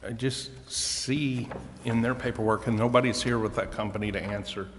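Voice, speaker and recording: A man speaking as a council member in a public meeting, with halting, deliberate phrasing. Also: medium pitch, steady tone. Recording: echoey hall with long reverb, faint noise.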